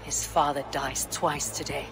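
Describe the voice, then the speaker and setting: A determined Viking warrior in a video game. The voice is slightly high for a man and measured, with outdoor noise behind it. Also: fairly even intonation, vocal fry. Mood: grim resolve.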